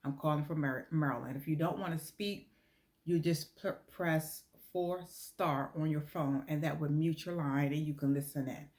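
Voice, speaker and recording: A woman's voice: deep voice, fairly even intonation, normal speed, a little mellow. An American woman talking informally in a promotional video, explaining earnestly and repeating points for emphasis. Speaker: lottery club promoter.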